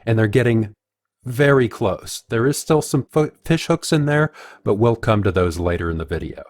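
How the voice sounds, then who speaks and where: A man with a fairly low voice gives analytical commentary. He speaks in a measured, explanatory manner, like a video commentator walking listeners through a report. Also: expressive, a little mellow. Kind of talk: analytical commentary, reading out quotes.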